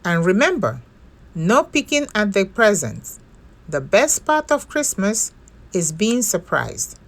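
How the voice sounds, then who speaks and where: A woman voicing a caring, gently firm woman who looks after a little bear in a picture book. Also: mid-range voice, big pitch swings, slow pace, heavily acted. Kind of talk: picture-book character reading.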